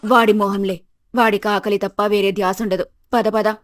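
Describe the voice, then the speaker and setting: A woman's voice: medium pitch, little pitch movement, normal speed, no echo, clean studio sound, close to an everyday voice. A woman plays a bossy village mother-in-law in a storybook tale. She is greedy and scheming, with a coaxing, dismissive way of talking. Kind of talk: Telugu moral-story character acting.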